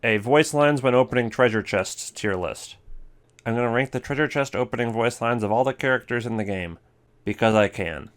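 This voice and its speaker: A man's voice: medium pitch, normal speed. A man hosting a video with casual, rambling, offhand gaming commentary. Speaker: video host.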